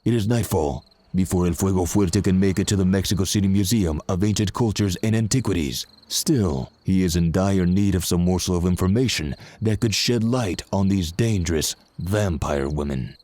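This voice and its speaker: An actor playing the dramatic narrator of a pulpy wrestling adventure in a scripted audio drama, formal in delivery. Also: male voice, low-pitched, normal speed, few pauses, dark tone, dry recording, natural voice.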